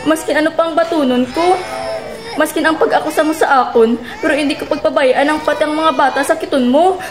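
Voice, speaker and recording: A woman's voice: high-pitched, steady tone, a little fast, a crowd in the background, lightly acted. A woman with a Western Visayan Filipino accent playing a hurt but firm young mother and wife in a radio drama. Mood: pleading, tearful. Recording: echoey room with music bed.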